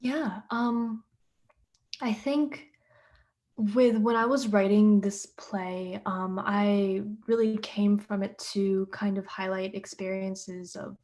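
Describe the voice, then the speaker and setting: A teenage playwright speaks softly and thoughtfully on a panel. She pauses often to gather her words, and her pitch is middling. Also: little pitch movement. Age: teens. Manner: soft, thoughtful, with frequent ums. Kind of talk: panel discussion, reflective.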